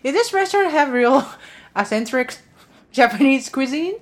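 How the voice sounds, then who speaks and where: A woman co-hosting a casual podcast chat, speaking halting non-native English with many fillers and frequent giggles. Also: high voice, animated. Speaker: podcast co-host.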